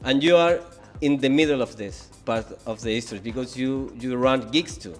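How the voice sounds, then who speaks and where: A male interviewer with a Spanish accent and a medium-pitched voice. His English is halting and he often stops to search for words. Faint music plays in the background. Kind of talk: interview host.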